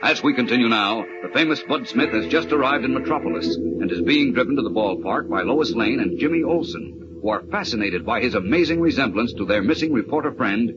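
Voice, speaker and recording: A man's voice: medium pitch, lively intonation, a little fast, natural voice. A man narrating as a dramatic, suspense-building announcer for an old-time radio serial. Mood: dramatic, suspenseful. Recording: muffled old radio broadcast, loud organ.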